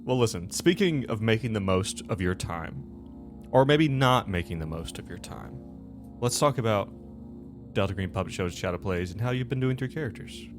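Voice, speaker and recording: Music plays behind a man with a very low voice. He hosts a tabletop game in a casual, rambling style, backtracking and correcting himself as he recaps. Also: animated, normal speed. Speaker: host and game master of a tabletop game show.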